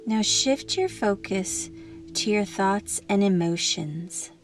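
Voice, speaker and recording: A woman leading a guided meditation in a gentle, soothing, unhurried manner, leaving long pauses between phrases. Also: mid-range voice, animated, faint music behind.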